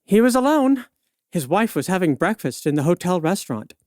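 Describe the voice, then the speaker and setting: A knowing female reporter in an old-time radio play. She delivers items matter-of-factly with a wry edge and a widely moving pitch. The recording is dry. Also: big pitch swings, fairly quick, darkish tone, no echo.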